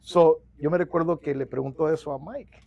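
A man's voice: fairly high pitch, moderate pace, vocal fry, dry recording, muffled recording. A middle-aged Mexican-American man speaking Spanish with English mixed in, telling long stories as a union organizer, with many restarts, on a lapel mic in a small office. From United States. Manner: mixes English into Spanish, many restarts, animated hand gestures. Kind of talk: storytelling interview.

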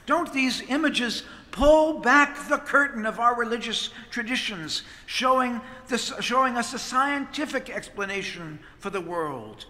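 A middle-aged man, a priest, preaching a sermon from a pulpit microphone with expressive gestures. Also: very high voice, measured pace, hardly any pauses. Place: large stone church, at the pulpit. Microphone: pulpit microphone on a stand. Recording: long church reverb.

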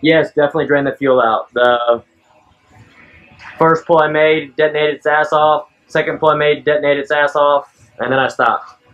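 A man's voice: on the high side, moderate pace, lo-fi sound. Man talking shop in a garage. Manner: relaxed, matter-of-fact, shop slang. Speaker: performance shop owner and tuner. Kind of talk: casual shop talk while filming. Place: large car repair garage, indoors. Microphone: handheld action camera.

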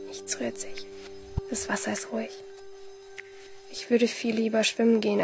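Dry-witted loner schoolgirl woman actor, radio play monologue, clipped and even, with fry in the voice. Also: medium pitch, lightly acted. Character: solitary, dry-witted schoolgirl who loves water. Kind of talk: first-person audio drama monologue.

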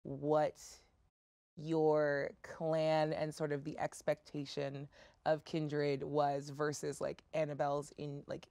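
A woman's voice: low-pitched, monotone, takes it slowly, mellow, dry recording. A woman in her thirties chatting casually as a guest on a gaming show, with a playful, jokey manner. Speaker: tabletop game player, guest on a discussion show. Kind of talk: casual chat. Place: TV-style studio set.

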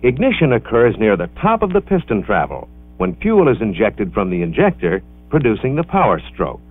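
A man reading scripted educational film narration in a measured, instructional way with careful enunciation, on an old film soundtrack. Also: mid-range voice, wildly swooping pitch, dry recording, narrow, tinny audio. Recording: band-limited vintage 16mm film soundtrack.

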